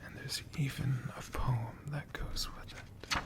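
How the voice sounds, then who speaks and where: A man playing a friendly, bookish dragon who tells stories in a soft, breathy ASMR whisper, gently lingering over words. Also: medium pitch, flat delivery, measured pace. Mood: gentle, musing. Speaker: ASMR host.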